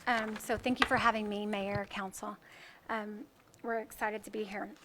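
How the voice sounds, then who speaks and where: A soft-spoken woman, a company representative, presenting to a town council. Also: mid-range voice, little pitch movement, moderate pace, noisy background.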